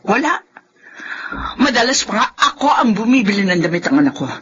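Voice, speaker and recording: A woman's voice: mid-range voice, big pitch swings, fairly quick, close to an everyday voice. Actress as a bitter, sharply swooping Filipino mother-in-law. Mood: scolding, accusing. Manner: scolding, sarcastic, confrontational. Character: bitter, accusing middle-aged or older mother-in-law. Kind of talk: Filipino radio drama acting. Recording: dull, noisy lo-fi drama recording.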